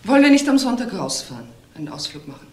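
An actress playing a suspicious, wounded wife who runs a restaurant, demanding and questioning in a crime radio drama. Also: female voice, medium pitch, very animated, fast talker, a little mellow, close to an everyday voice. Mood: strained, pleading. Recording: roomy sound with faint noise.

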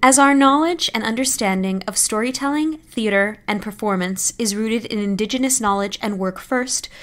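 A woman's voice: medium pitch, fairly bright tone. A woman announcer reading a scripted formal acknowledgement.

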